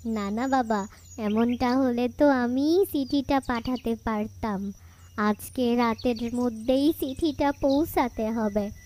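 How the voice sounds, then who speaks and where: Slow, high-voiced pleading old aunt played by a woman. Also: warm tone, a little hiss or hum. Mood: sorrowful pleading. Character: sorrowful, pleading elderly widowed village aunt. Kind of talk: cartoon story dialogue.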